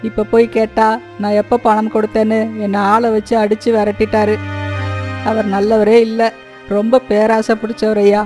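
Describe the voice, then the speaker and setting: Poor honest labourer for a cartoon fable, male actor: soft dark tone, flat melody, deferential, pleading. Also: high-pitched, moderate pace, soft background music, close to an everyday voice. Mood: sorrowful, humble. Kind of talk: Tamil cartoon moral story acting.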